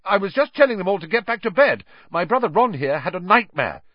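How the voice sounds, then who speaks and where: Male reader as pompous teen head boy, officious, bossy, indignant, expressive pitch, audiobook character. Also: fairly quick, no echo, dull audio. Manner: self-important, bossy, indignant.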